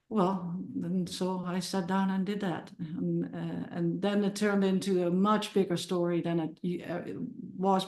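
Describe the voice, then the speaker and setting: A middle-aged woman from the Netherlands with a Dutch accent, talking about her film on a podcast, full of restarts and repeated words. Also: medium pitch. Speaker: filmmaker and musician guest. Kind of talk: casual podcast interview. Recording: roomy sounding.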